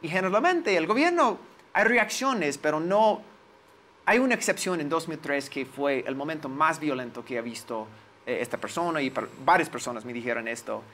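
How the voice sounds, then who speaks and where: A man in his forties with an American accent, a native English speaker, recalling his experiences in an interview and pausing to search for words. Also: fairly high pitch, expressive, bright, forceful tone, a little hiss or hum. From United States. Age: 40s. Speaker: lawyer, interview guest. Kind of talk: interview, personal recollection.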